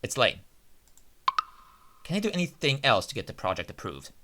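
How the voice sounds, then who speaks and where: A man's voice: mid-range voice, huge pitch swings, moderate pace, background noise, voice pushed up. Man playing an eager, polite student.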